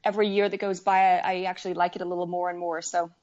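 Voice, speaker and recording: A woman's voice: fairly low pitch, little pitch movement, rapid-fire, darkish tone, highs cut off. A woman in her forties from Wales and western Canada, chatting casually as an interview guest with hesitant, stop-start phrasing. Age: 40s. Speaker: needlework artist, interview guest. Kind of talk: casual chat.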